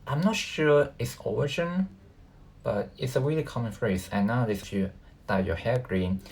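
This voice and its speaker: A man who natively speaks Cantonese, giving casual reaction commentary as a video host, haltingly thinking aloud. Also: medium pitch, vocal fry, a little mellow.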